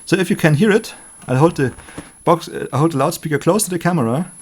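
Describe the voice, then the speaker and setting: Higher-voiced man thinking aloud. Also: expressive, fast talker, husky. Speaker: electronics hobbyist presenter. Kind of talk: unscripted hands-on electronics demonstration.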